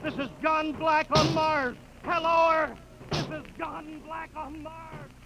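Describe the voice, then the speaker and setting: An American actor playing a desperate, stranded spaceship captain in a 1950s radio drama, urgent and halting. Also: male voice, very high voice, flat delivery, muffled recording, noisy background, exaggerated voice. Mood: panicked pleading. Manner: urgent, halting, repeating himself. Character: desperate rocket ship captain stranded on Mars, male. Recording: old radio recording with dramatic music.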